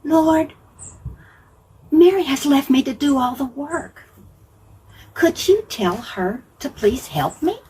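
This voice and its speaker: Woman acting high-pitched, exclamatory Bible-story hostess Martha. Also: animated, slow pace, pauses often, warm tone, a little hiss or hum, low-quality recording. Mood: indignant, complaining. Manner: gushing, exclamatory, repeats herself. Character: Martha, excited busy hostess turning indignant at unfairness.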